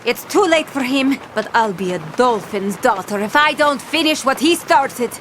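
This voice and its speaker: A woman's voice: high-pitched, lively intonation, moderate pace, noisy background. A woman playing a bossy, treasure-obsessed pirate captain in a cartoon adventure, theatrical and full of salty pirate slang. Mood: dramatic, determined. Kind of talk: animated cartoon adventure storytelling.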